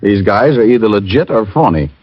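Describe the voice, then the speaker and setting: An actor playing a cynical, wisecracking private detective in a 1940s-style radio drama, with dry, sardonic, flippant delivery. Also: male voice, fairly low pitch, expressive, brisk. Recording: muffled old radio recording.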